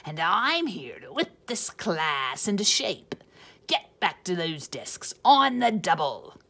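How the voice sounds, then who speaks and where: A woman voicing a stern, strict substitute teacher with a scratchy voice and slow, menacing commands. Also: lowish voice, sing-song, pushed and bright, exaggerated voice. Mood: bossy.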